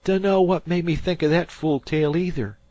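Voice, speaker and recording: A man's voice: on the high side, steady tone, a little fast, dark tone, no room sound, pitched above the performer's own voice, lightly acted. A man voicing a frightened teenage fisherman boy who talks in rustic old-time dialect. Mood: spooked.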